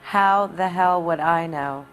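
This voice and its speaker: A woman's voice: lowish voice, takes it slowly, poor audio. A woman playing a brassy, hard-bitten young American dame in a 1940s crime game, blunt, slangy and evasive. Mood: defiant, evasive. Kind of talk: video game acting, period crime drama. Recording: music behind the voice.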